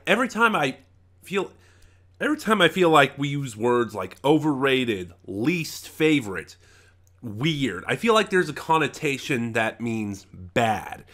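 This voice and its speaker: A man with a bright, forceful voice at a medium pitch talks through an off-the-cuff review. He speaks casually and with emphasis, often breaking off mid-thought and starting the point again. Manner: halting, trails off and regroups mid-thought. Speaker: online reviewer. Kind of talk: casual unscripted audio drama review.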